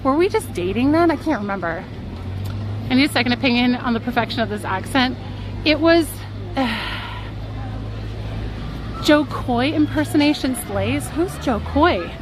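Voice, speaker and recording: A woman live-streaming from a theme park, telling a story in a chatty, giggly, self-deprecating way. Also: high-pitched. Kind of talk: casual storytelling to a live chat. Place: outdoors at a theme park on a rainy day. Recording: park music and crowd noise behind.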